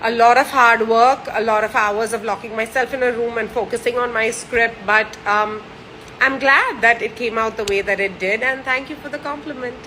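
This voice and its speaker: Woman, 30s, from India, bright and a touch high, casual and chatty with lots of fillers, faint noise behind. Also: normal speed, rough recording. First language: Gujarati or French, not Hindi. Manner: warm and cheerful. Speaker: actress answering fan questions. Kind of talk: casual chat.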